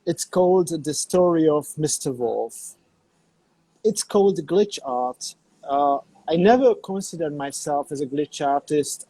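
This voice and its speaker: Middle-aged Hungarian man, unhurried, dark mellow timbre. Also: a little high, dry recording, poor audio. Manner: unhurried, searching for words in a second language. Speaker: interview guest, digital artist. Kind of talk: casual interview on a call. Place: cafe in a small town.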